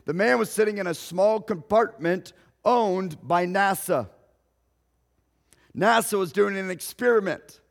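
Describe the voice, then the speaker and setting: A male pastor, telling a story in a sermon slowly and deliberately, raising his voice at dramatic moments. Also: high voice, creaky. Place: church sanctuary. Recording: long hall reverb.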